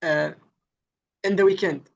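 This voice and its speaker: A hesitant student from Saudi Arabia answering English questions at a very slow, halting pace, with long fillers between words. The voice comes through muffled and faraway, like dull call audio. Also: medium pitch, steady tone, gravelly.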